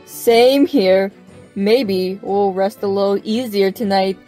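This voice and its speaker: A woman's voice: medium pitch, takes it slowly, mellow, soft background music, close to an everyday voice. A woman playing a friendly, hospitable monkey-creature shopkeeper in an audio drama, chatty and welcoming. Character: friendly, hospitable female Monferno bakery shopkeeper.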